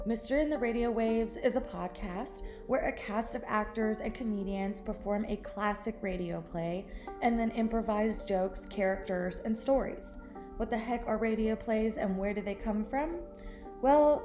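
A woman reading a scripted podcast introduction as host. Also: fairly high pitch. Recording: roomy, echoing sound. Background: music.

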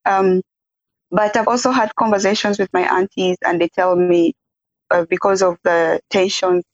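A young woman from South Sudan talks in a soft, measured way, pausing on fillers. Her pitch stays flat and even. The sound is dull and dry, like a call. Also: no echo. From South Sudan, raised in Uganda. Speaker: podcast guest, peace activist. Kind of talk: interview.

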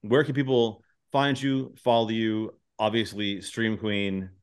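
A man's voice: fairly low pitch, little pitch movement, unhurried, smooth. A man hosting a podcast interview, chatting in an informal, effusive way. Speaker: podcast host. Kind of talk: casual interview chat.

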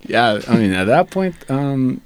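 Halting man. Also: mid-range voice, big pitch swings. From Ecuador. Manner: halting, many fillers and restarts, laughs often. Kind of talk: casual chat.

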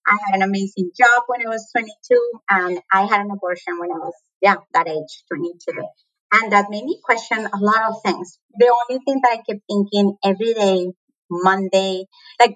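A woman's voice: mid-range voice, moderate pace, a bit bright, low-quality recording. A woman from Colombia with a Colombian accent, a native Spanish speaker, talking reflectively as an interview guest and laughing often. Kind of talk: conversational interview.

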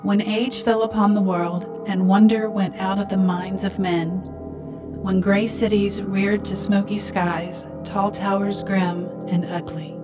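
Radio play satellite, voiced by an actress: female, formal, measured recitation, very slow and flat, loud music underneath. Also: mid-range voice, lightly acted. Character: coolly formal satellite reciting logs and poetic prose. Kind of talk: radio drama acting.

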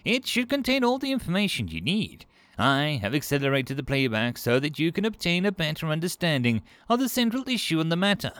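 A man voicing a small, excitable flying alien commander who talks in a snappy, fretful, exasperated way in a story. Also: fairly high pitch, brisk, no room sound, voice pushed up. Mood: fretful, hurried.

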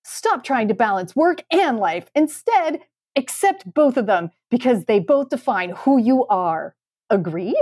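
Woman, fairly high voice, podcast co-host, casual comedic chat, emphatic sarcasm, dry close sound. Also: big pitch swings, unhurried, darkish tone, no room sound.

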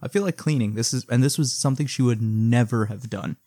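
A young man in his twenties hosts a podcast with a dry, teasing wit. His voice sits at a middle pitch and sounds close and dry on the mic. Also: fairly quick, warm tone, no room sound. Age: 20s. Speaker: podcast host. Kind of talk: casual chat.

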